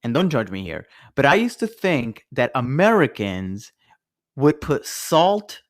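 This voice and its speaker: A man who grew up in Italy with Italian as his first language, co-hosting a podcast and telling stories in a lively way, laughing as he goes. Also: medium pitch, a little slow. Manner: animated storyteller, laughs while telling stories. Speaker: podcast co-host. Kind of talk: casual chat.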